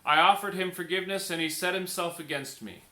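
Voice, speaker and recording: A man voicing a noble, solemn and merciful king in a storybook, speaking in a measured, declamatory way. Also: high-pitched, pushed and bright, pitched above the performer's own voice. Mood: grieving, grave. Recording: echoey room.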